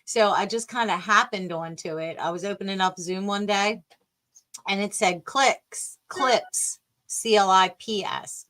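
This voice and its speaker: A woman hosts a podcast in a relaxed, chatty way, encouraging her guest as she talks. Her voice sits at a middling pitch for a woman. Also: unhurried. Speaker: podcast host. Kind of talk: casual conversation.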